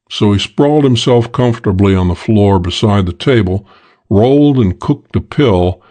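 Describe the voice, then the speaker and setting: A man's voice: lowish voice, warm tone. A man narrating a first-person detective audiobook in a dry, wry, hard-boiled way.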